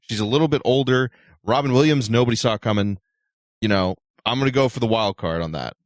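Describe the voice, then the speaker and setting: A man's voice: mid-range voice, fairly quick, dry recording, studio quality. A man co-hosting a talk show with casual panel banter. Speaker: show co-host.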